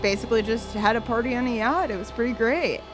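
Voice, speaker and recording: A woman's voice: a little high, fast talker. A woman of thirty chatting casually on a podcast, with lots of fillers and frequent laughter. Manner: laughing often, filler-heavy. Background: music playing.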